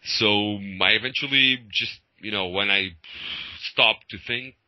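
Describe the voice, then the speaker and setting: A man from Portugal with a Portuguese accent, answering interview questions in English, hesitant with many pauses and light laughs. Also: lowish voice, pushed and bright, dry recording, poor audio. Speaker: singer of a metal band.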